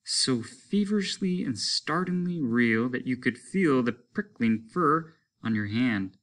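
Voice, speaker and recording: A male teacher reading a short story aloud in a measured, descriptive way. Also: mid-range voice, lively intonation, fairly bright tone.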